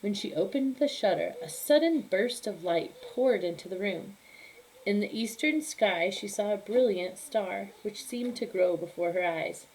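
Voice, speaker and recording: A woman's voice: medium pitch, expressive, measured pace, darkish tone, slight background noise, rough recording. Woman reading a children's story expressively.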